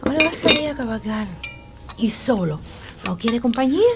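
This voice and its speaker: An actress playing a gossipy, watchful enslaved cook who mutters and exclaims to herself in folksy speech in a radio drama. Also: female voice, a little high, lively intonation, moderate pace, very noisy, lightly acted. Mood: gossipy, curious. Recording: music behind, clinking kitchen dishes.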